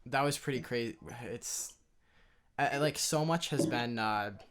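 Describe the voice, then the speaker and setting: A man hosts a podcast in an easygoing, unhurried chat, sprinkling in uhs and small laughs. His voice is smooth and clear, sitting in a middle pitch. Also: a bit bright. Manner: easygoing, laughs often, hesitant with fillers. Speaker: podcast host. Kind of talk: casual conversation.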